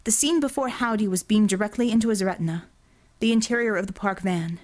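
A woman's voice: mid-range voice, fast, darkish tone. A woman reading a scripted story as an audiobook narrator.

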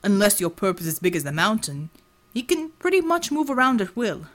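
A woman playing a strong male field chief, calm, dry wit, measured delivery, audiobook fiction. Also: medium pitch. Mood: thoughtful.

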